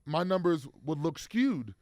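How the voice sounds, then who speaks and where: A man's voice: on the high side, big pitch swings, measured pace, darkish tone, no room sound, studio quality. A man in his thirties, a football player guest, talking casually in an interview with lots of fillers and restarts. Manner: rambling, many fillers and restarts.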